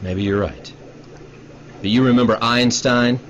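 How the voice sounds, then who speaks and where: An actor in a radio drama playing a bitter, sleepless scientist, grave, sardonic and accusing, bent on revenge. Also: male voice, mid-range voice, measured pace, close to an everyday voice. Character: bitter, sleepless male scientist, grimly vengeful. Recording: dull radio drama recording, faint noise.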